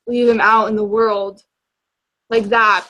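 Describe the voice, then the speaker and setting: A woman's voice: medium pitch, little pitch movement, takes it slowly, pushed and bright, low-quality recording. A young woman co-hosting a casual, informal chat about books. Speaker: book discussion show co-host.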